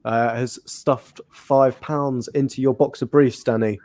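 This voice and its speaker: A man's voice: medium pitch, fairly even intonation, a little slow, darkish tone. A man with a Northern English accent hosting a football podcast, leading the talk in an easygoing way. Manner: easygoing, leads the conversation. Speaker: podcast host. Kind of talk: casual football chat.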